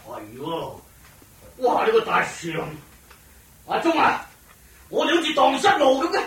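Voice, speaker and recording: A man acting a bossy, easygoing king in a Hong Kong Cantonese radio drama, given to sighs and excitable outbursts. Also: very high-pitched, unhurried, echoey room, distant mic, slight background noise, exaggerated voice. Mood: weary, bossy. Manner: excitable, sighing, shouting on the hunt.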